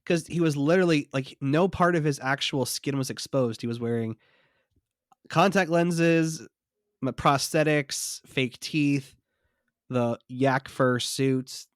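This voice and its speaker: A man's voice: mid-range voice, slow pace, no echo. Podcast co-host, male, congested from a cold, chatty trivia-sharer, mid-range voice, dry close sound. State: stuffy from a cold. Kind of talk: casual chat.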